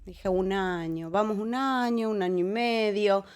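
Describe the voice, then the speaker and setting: A woman in her forties from Argentina with a Córdoba accent, telling her life story as a guest in a relaxed interview, talking haltingly, repeating words and laughing easily. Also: mid-range voice, smooth.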